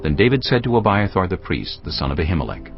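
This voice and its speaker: A man narrating dramatized scripture in a solemn, measured storytelling manner. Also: low-pitched, sing-song. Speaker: Bible narrator. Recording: dull audio with music behind. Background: background music.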